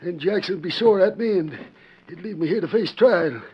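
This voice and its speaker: An actor playing a nervous, self-excusing drifter in a 1940s radio western, pleading in rustic speech. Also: male voice, sits high, fairly quick, husky, dull audio. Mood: anxious, pleading. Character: nervous, self-excusing Old West drifter caught lying.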